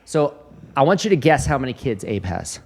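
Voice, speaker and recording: A man in his fifties hosts a podcast, spinning animated stories and breaking into laughter often. His voice sits at an ordinary middle pitch for a man. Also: brisk. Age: 50s. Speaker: podcast host. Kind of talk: podcast interview.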